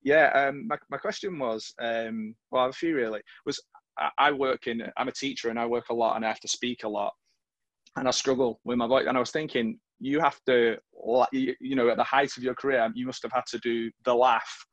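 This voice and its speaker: A man's voice: medium pitch, fairly even intonation, a little fast, fairly bright tone, dry recording. A man in his forties with a Manchester accent, interviewing on a video call, hesitant and friendly with many restarts. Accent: Northern English, Manchester. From England. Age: 40s. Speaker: interviewer, teacher.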